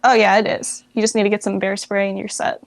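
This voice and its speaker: A woman's voice: mid-range voice, flat delivery, quick pace, dry recording. An American woman giving an interview as a guest, chatting informally and thinking aloud. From United States. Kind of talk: casual chat.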